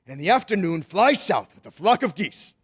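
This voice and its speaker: Man acting a jolly, high-pitched husky old Santa. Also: big pitch swings, brisk, heavily acted. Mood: playful, explaining. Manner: chuckling, coaxing, old-fashioned grandfatherly phrasing. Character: jolly, kindly old man who is Santa Claus, playful. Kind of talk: old-time radio drama acting.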